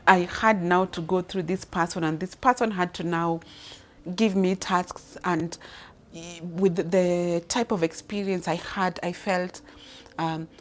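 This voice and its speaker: A woman's voice: fairly low pitch, fairly even intonation, slow. A Kenyan woman in her thirties speaking off the cuff about her career, with many restarts and fillers. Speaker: leadership speaker. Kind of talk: personal story, unscripted talk.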